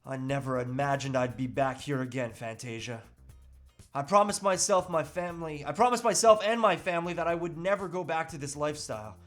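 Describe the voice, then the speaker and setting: Man playing a hesitant, higher-voiced reluctant family man. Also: fairly quick, faint music behind, natural voice. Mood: regretful. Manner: hesitant, protesting. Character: reluctant, overwhelmed family man dragged into a sleazy lifestyle. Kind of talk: comedic table read acting.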